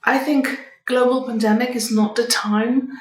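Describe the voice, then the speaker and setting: A middle-aged woman giving advice to viewers as a vlog host, in a measured, explanatory manner. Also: on the high side, fairly even intonation. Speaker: communication coach and vlog host. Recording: echoey room, distant microphone.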